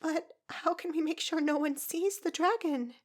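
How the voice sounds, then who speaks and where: A woman voicing a gentle, kind young woman who speaks softly and quietly. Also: very high voice, normal speed, voice pushed up. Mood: soft, concerned. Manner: soft, quiet voice.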